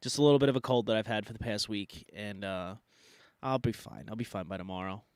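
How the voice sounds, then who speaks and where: A man's voice: lowish voice, fast talker, clear, resonant tone, no echo, clean studio sound. A man co-hosting a live podcast, sounding nasal from a cold, talking casually with lots of fillers and restarts. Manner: rambling, many fillers and restarts. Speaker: podcast co-host. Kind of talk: casual debate chat.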